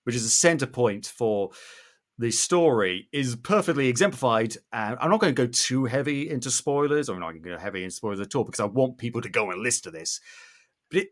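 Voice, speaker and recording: A man hosting a podcast in an effusive, conversational way, often halting as he searches for the right words. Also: medium pitch, expressive. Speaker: podcast host. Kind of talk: enthusiastic conversational interviewing.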